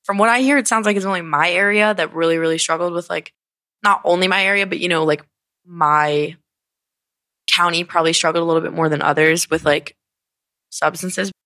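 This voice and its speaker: A woman's voice: on the low side, fairly even intonation, brisk, bright, forceful tone, no echo, clean studio sound. Sniffly young woman rambling on a podcast. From Connecticut, US. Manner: candid, rambling, heavy on fillers and swearing. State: sniffly, congested. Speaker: podcast host. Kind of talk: casual solo podcast talk.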